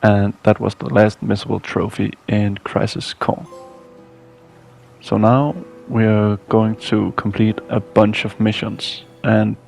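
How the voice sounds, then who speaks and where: A man's voice: fairly low pitch, flat delivery, slow, vocal fry, darkish tone, faint music behind. A man narrating a scripted video game walkthrough as a guide.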